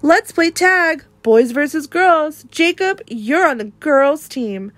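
A woman's voice: high voice, animated, takes it slowly, a bit bright, no room sound, voice pushed up, heavily acted. A woman voicing a bossy, scornful young schoolboy with a blunt manner in a storybook read-aloud. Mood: taunting.

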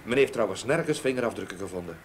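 An actor playing a sober, earnest gentleman witness in a radio play, speaking in a measured, factual way. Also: on the low side, animated. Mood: grave, sombre. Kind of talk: Dutch radio play acting. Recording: slightly noisy old radio drama recording.

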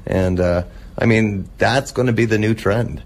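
A man speaking as a guest in a casual interview, talking in a halting, rambling way about his hobby. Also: fairly low pitch, a little mellow. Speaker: radio show guest, old-time radio enthusiast.

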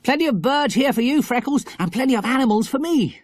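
A woman's voice: high voice, expressive, fast, a little mellow, dry recording, pitched above the performer's own voice. A woman voicing a cheeky, confident schoolboy in a storybook, with a breezy, jaunty manner. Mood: eager.

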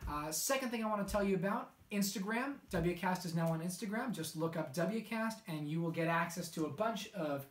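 A man's voice: high voice, expressive, normal speed, hardly any pauses. A young man hosts a show, presenting announcements straight to the audience in conversational sentences. The room around his voice is noticeably echoey. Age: young adult. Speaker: show host.

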